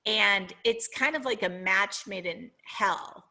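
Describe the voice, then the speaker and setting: Middle-aged Minnesotan woman, podcast guest, bright voice, reflective and sincere. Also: medium pitch, takes it slowly, vocal fry. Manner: earnest, reflective, searching for words. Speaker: guest author. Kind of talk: podcast interview.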